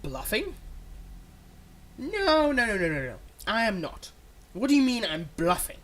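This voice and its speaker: A performer playing a theatrical supervillain, menacing yet exasperated and softhearted, in a one-sided ASMR roleplay spoken straight to the listener. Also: mid-range voice, huge pitch swings, measured pace. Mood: indignant, menacing. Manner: dramatic, swinging between threats and hushed soothing.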